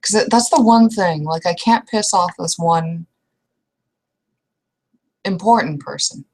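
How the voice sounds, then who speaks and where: A young woman with a slightly low voice talks through an improvised roleplay scene. She speaks casually and haltingly, restarting sentences and trailing off as she goes. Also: a little mellow. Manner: casual, hesitant, trailing restarts with mild swearing. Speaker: roleplay player. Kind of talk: improvised in-character roleplay conversation.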